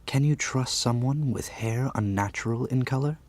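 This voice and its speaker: A man playing a stately, wealthy lord in an audiobook drama, speaking calmly in formal, archaic phrases. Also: medium pitch, moderate pace, lightly acted. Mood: calm, reassuring. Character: stately wealthy lord, calm, insightful, smooth-talking rescuer.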